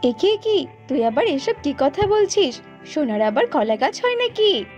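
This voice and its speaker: A kind mother bird from a cartoon voiced by a woman. She speaks in a high, earnest, expressive voice. The audio is slightly dull, with music underneath. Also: unhurried. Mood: amazed. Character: kind motherly female tailorbird, caring and helpful. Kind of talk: children's cartoon voice acting.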